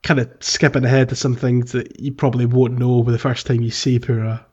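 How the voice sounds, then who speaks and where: A man co-hosting a podcast, chatting casually about a video game in a hesitant, halting way. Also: mid-range voice, flat delivery, a little mellow, slightly rough, dull audio. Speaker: podcast co-host.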